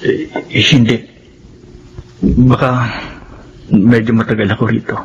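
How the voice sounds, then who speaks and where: A mid-pitched Filipino man acted by a man as a subdued grown son, halting and unsure in radio drama style. The sound is dull with noticeable noise. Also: little pitch movement, close to an everyday voice. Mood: hesitant. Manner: halting, hesitant. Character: subdued, hesitant adult son with a secret.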